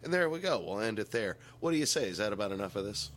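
Deep-voiced man hosting a casual podcast. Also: animated, fast, a loud crowd. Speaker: podcast host. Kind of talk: casual comedic podcast chat.

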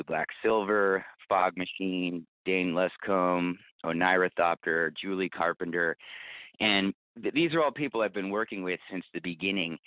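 A man's voice: deep voice, no echo. A man giving earnest, enthusiastic answers in a conversational interview as a podcast creator and guest, over a call. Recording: narrow, tinny phone-line sound.

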